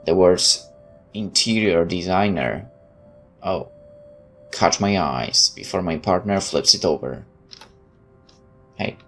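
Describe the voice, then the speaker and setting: A man's voice: deep voice, takes it slowly, pauses often, fairly bright tone, soft background music. A man playing through a game, reading its text aloud and adding sarcastic asides. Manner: sarcastic, interjecting quips. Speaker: let's player.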